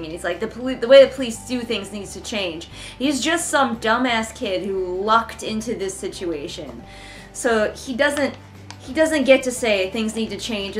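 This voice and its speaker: A young woman giving casual, sarcastic commentary as she reads along with a book, in an exasperated, informal way. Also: medium pitch. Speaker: book reviewer reading along. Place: living room couch, indoors. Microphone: camera a short distance away.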